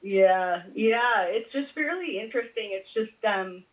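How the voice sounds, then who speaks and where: A woman speaks through a thin, narrow phone connection. She talks casually as a patient, in a halting way, often leaving thoughts hanging as she searches for words. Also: mid-range voice, lively intonation. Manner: hesitant, halting, trailing off between thoughts. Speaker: patient on a call. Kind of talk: casual personal conversation. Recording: thin, band-limited phone call.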